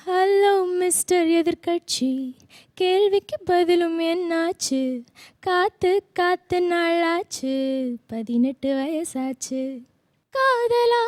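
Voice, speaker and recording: A young woman sings film songs for a contest. Her voice is very high and the phrases are long and slow. It is recorded dry, with music behind her. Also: no room sound. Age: young adult. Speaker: singing contestant. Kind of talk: singing Tamil film songs. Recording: loud music backing.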